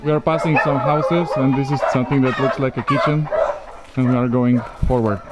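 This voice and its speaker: A man's voice: mid-range voice, steady tone, a little fast, over loud music, rough recording. A man from Poland, chatting as a travel vlogger while walking through a remote village. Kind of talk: casual walking-tour commentary. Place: outdoors in a hot remote village.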